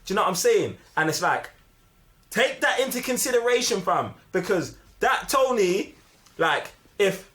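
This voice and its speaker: Animated young London man, higher-pitched voice. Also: normal speed. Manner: opinionated, emphatic, repeats himself. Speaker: movie review podcast host. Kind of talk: casual, slang-heavy film discussion.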